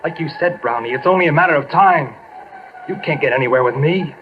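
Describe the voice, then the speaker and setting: A man's voice: on the high side, brisk, highs cut off, close to an everyday voice. Man acting a stubborn wounded soldier, noisy old radio. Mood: grimly resolved. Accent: American. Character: gravely wounded young American soldier, stubborn, self-sacrificing, grimly wry. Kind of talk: old-time radio war drama acting. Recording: noisy, band-limited old radio recording.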